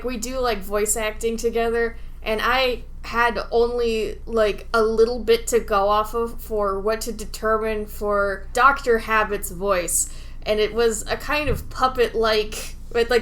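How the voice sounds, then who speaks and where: A woman co-hosting a podcast, chatting casually about video games in an animated, incredulous, giggly manner. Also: fairly high pitch. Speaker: podcast co-host.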